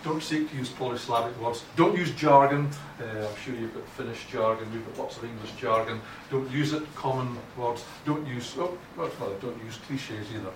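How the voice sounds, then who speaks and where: A man's voice: medium pitch, moderate pace, few pauses. Scottish male lecturer, blunt, informal, lots of restarts; distant mic, room echo. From Scotland. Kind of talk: academic writing lecture. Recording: echoey room from far off, noisy.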